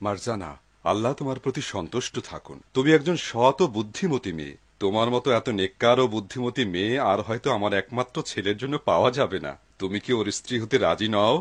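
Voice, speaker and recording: A man voicing a kindly, honest older father in a dubbed cartoon, expressive and husky. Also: on the low side, fast. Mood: grateful, earnest.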